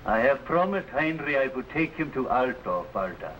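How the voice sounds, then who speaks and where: A proud Swiss bowman and father in a vintage adventure serial. His mid-pitched voice is solemn and resolute, with archaic formal phrasing. Light noise sits on the old recording. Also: big pitch swings, normal speed, fairly bright tone. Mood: defiant. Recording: noisy vintage broadcast recording.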